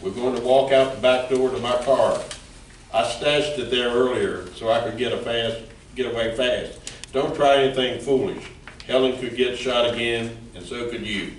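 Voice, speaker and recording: A smooth-talking male villain with an average-pitched voice, acted by a man in an amateur play. He is flirtatious and menacing in a plainly read way. The voice comes from off in a roomy, slightly noisy space. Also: steady tone, normal speed, lightly acted. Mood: threatening. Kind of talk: amateur community theatre reading. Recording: roomy, distant mic with background noise.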